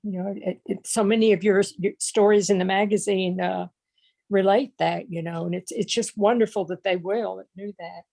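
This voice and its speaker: Woman w/ a gravelly creaky voice, casual and halting, very dry sound. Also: mid-range voice, steady tone, dark tone, no echo. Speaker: audience participant.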